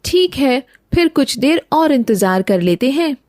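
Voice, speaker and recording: Homely village wife for a cartoon, actress, high voice, dutiful yet anxious, dry studio sound. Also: female voice, big pitch swings, measured pace, a little mellow, no room sound. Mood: fretful. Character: dutiful, fretful young wife of a village woodcutter. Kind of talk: Hindi cartoon story dialogue.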